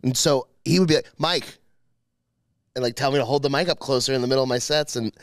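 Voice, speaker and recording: A man's voice: medium pitch, fast talker, no echo, studio quality. A young man hosting a podcast as a comedian, chatting casually with a big, emphatic, profane voice.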